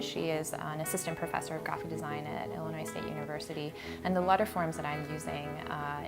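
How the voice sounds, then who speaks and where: An American-born woman artist talking thoughtfully about her installation in a gallery, with many ums and pauses. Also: low voice. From United States. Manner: hesitant, many ums and uhs, reflective. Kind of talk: artist talk at an exhibition. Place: art gallery.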